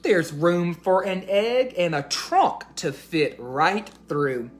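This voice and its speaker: A woman's voice: fairly low pitch, sing-song, slow, faint music behind. A woman hosting a children's read-aloud, narrating a storybook in a teacherly way and putting questions to listeners.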